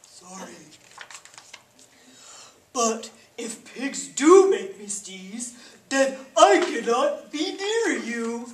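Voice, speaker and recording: Anxious storybook elephant played by a woman, high and very slow, halting and melodramatic. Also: expressive. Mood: mournful, dramatic. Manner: halting, melodramatic, drawn-out delivery. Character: anxious, dramatic sneezing elephant, storybook best friend. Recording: echoey, distant, faint background noise.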